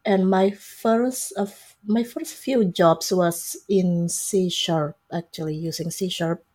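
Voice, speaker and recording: A woman's voice: on the low side, steady tone, slow pace, a little mellow, slightly rough. A woman in her forties with an Indonesian accent, explaining things casually as an interview guest. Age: 40s. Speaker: interview guest, software developer.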